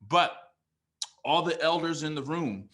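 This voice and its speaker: A young man hosting the event and teaching, giving energetic off-the-cuff remarks with casual slang and bursts of enthusiasm. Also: on the high side, a little slow. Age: young adult.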